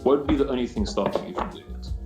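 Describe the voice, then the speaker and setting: A young man from South Africa with a South African accent, calling in to a radio show and chatting in a relaxed, talkative way. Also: medium pitch, rapid-fire, roomy sound, faint music behind. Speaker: caller. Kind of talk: casual call-in chat. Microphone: voice chat call.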